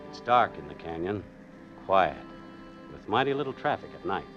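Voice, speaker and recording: A low-voiced, middle-aged family man played by an actor tells his story in a vintage radio suspense play. He is folksy and plainspoken, on a dull old broadcast recording with faint music. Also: lightly acted. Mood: matter-of-fact. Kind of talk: 1940s radio drama narration and dialogue.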